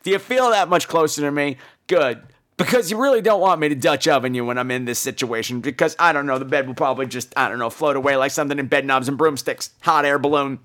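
A man hosting a podcast in a campy, irreverent, rambling way, his voice hoarse from laryngitis. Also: mid-range voice, quick pace, fairly bright tone. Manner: campy, irreverent, crude joking. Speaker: podcast host. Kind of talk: rambling comic casual chat.

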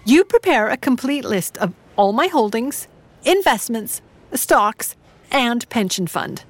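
A woman's voice: on the high side, big pitch swings, slow pace, no room sound, full character voice. An actress playing a shrewd, genteel middle-aged mother in an audio drama, speaking formally and precisely with dry, sceptical wit. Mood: firm, arch. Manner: formal, precise, dryly pointed.

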